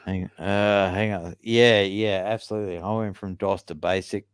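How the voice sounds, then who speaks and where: An older man chats as a guest on a live stream, easygoing and quick to agree, calling the host mate. His voice is low and smooth, with a resonant clarity. The sound is dry and close. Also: steady tone, no echo. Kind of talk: casual live-stream chat.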